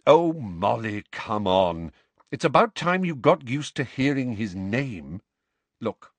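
A steady, kindly wizard speaks firmly and reassuringly, as a male narrator puts him on. His voice stays measured and grounded. The sound is close and dry. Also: on the low side, no echo. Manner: firm and soothing. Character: calm, steady middle-aged wizard, kindly but firm. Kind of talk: audiobook character voice.

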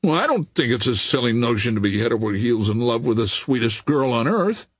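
Man playing young suitor, earnest, teasing, lovesick, husky, dry storybook recording. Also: medium pitch, sing-song, a little fast, dry recording. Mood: ardent.

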